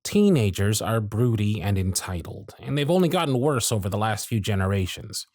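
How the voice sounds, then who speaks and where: A man's voice: fairly low pitch, expressive, moderate pace, darkish tone, no echo, studio quality. A man playing a jaded century-old supervisor. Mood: bitter, exasperated. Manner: sardonic, blunt. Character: jaded male workshop supervisor, century-old, gruff and weary. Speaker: voice actor in audio roleplay. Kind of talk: ASMR roleplay acting.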